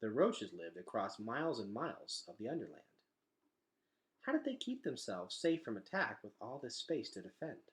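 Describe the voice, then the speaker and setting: A man reads a children's adventure novel aloud with a very lively, swinging intonation. His pitch sits in the middle range for a man, and his delivery is expressive and dramatic. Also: moderate pace. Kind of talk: expressive read-aloud storytelling.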